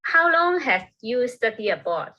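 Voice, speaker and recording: A woman's voice: fairly high pitch, big pitch swings, unhurried, highs cut off. A young woman student interviewing a friend for a class recording. She asks questions politely in simple learner's English.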